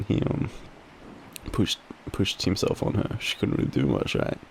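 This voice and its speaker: A young Australian man in a drowsy, halting, casual boyfriend roleplay, murmuring softly as he rambles through a story with slangy swearing. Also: fairly low pitch, big pitch swings, creaky. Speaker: audio roleplay performer playing a boyfriend. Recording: faint background ambient noise.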